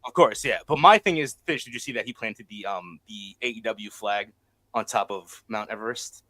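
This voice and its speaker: A man's voice: fairly low pitch, normal speed, bright, forceful tone. A man chatting casually as a panelist on a sports talk show. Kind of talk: casual chat.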